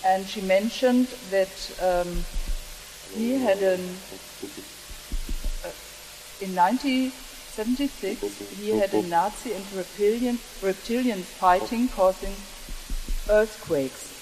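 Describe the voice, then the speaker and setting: A woman from Germany with a German accent, asking a question from the audience in halting, apologetic non-native English. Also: mid-range voice, darkish tone. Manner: hesitant, apologetic. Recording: hissy, echoing conference hall.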